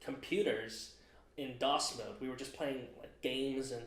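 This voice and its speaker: A man in his twenties hosts a relaxed podcast conversation at a middle pitch, circling his points with plenty of "you know" and "uh". The sound carries a noticeable roomy echo. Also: unhurried. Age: 20s. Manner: rambling, thinks aloud with many fillers. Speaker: podcast host. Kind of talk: casual chat.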